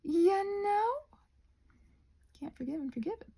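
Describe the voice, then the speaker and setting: A woman rambling casually in an ASMR style while crafting, musing aloud and gently reassuring, her soft breathy voice often slipping into a whisper. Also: very high voice, expressive, slow pace.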